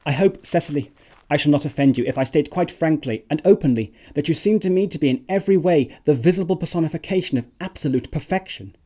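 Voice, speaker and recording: A woman plays a flippant young gentleman in love in a classic comedy audio drama. The voice is extremely low for a woman, with a dark, relaxed tone. The manner is suave and theatrical. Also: fast talker. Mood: ardent, wistful.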